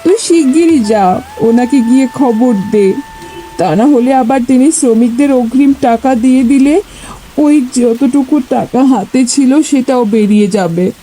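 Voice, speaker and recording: Woman voicing an anxious, high-voiced village mother. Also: unhurried, mellow, faint music behind, rough recording. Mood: urgent. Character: worried village mother, middle-aged, anxious. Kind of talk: animated cartoon story dialogue.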